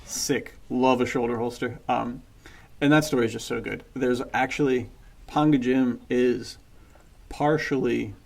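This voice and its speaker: Enthusiastic man with a creaky voice. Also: mid-range voice, darkish tone. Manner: enthusiastic, slangy, informal. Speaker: podcast co-host. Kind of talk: casual book chat.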